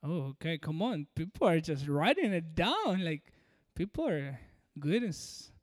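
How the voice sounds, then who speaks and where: A man from Peru with an American-sounding accent preaches in a large hall. His voice sits high and rings with a long reverb. He talks directly to the crowd and coaxes them along. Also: big pitch swings, measured pace. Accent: American-sounding English. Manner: direct and coaxing, addresses the audience. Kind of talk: sermon.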